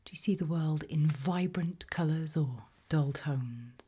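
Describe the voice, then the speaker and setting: A British woman whispering an ASMR roleplay as a creepy, probing examiner, asking questions slowly and deliberately with quiet playfulness. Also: low-pitched, husky. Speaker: ASMR roleplayer playing an interviewer.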